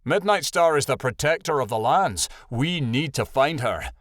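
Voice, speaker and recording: A man voicing a steady, commanding male animal leader in a storybook, firm and ordering. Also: mid-range voice, moderate pace, dry recording, studio quality, natural voice.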